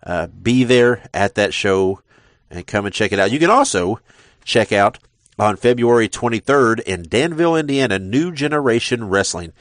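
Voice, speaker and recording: A man's voice: fairly low pitch, normal speed, a bit bright, no room sound. Congested man hosting a podcast, dry sound. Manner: rambling, with frequent uh fillers and repeated words. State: congested from a sinus infection. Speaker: podcast host. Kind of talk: casual podcast talk.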